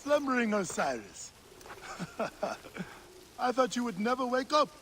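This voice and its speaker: An actor playing a hearty, loyal warrior companion in a game cutscene, expressive, familiar and gravelly. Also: male voice, extremely high pitch, takes it slowly, exaggerated voice. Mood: teasing. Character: hearty, loyal male warrior friend, welcoming and teasing. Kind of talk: video game cutscene acting. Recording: long reverb, clearly noisy background.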